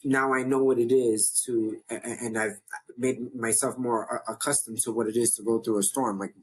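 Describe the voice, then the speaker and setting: A man from New York with a deep voice, hosting his own online show in a casual, rambling talk to camera that often restarts mid-sentence. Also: slightly rough, low-quality recording. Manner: chatty, rambling, self-interrupting. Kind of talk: casual talking-to-camera update.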